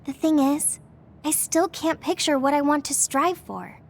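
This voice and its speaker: A woman's voice: high voice, steady tone, unhurried. A woman voicing an earnest, self-doubting teenage girl soldier in an anime-styled video game, hesitant and sincere. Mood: downcast.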